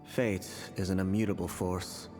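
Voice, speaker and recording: A grave male seer, played by an actor speaks in a deep voice with formal, portentous phrasing. He is mournful and resigned, in dramatic game style, under loud music. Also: flat delivery, normal speed, close to an everyday voice. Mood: mournful resignation. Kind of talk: dramatic video game voice acting.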